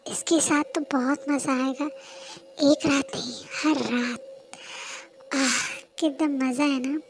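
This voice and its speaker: A young woman voicing a crude, pushy grown man who speaks in a low breathy whisper and slow drawl. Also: steady tone, slight background noise, full character voice, poor audio. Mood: leering.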